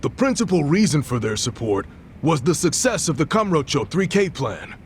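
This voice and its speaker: An actor playing a polite, formal television interviewer in a video game's broadcast scene. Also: male voice, a little high, very animated, moderate pace, a little hiss or hum. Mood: upbeat, formal. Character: brisk, deferential male television news interviewer. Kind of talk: broadcast presenting in a game cutscene.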